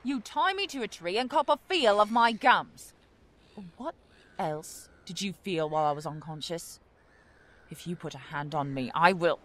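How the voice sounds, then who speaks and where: An actress playing a feisty, skeptical, indignant woman in an audio drama, sharp-tongued and sarcastic. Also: on the low side, expressive, normal speed.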